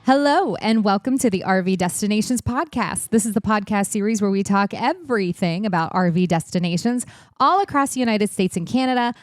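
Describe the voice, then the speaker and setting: A woman's voice: medium pitch, expressive, a little fast, no room sound, studio quality. A woman co-hosting a travel podcast in casual, playful chat, teasing her co-host. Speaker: podcast co-host, magazine editor-in-chief.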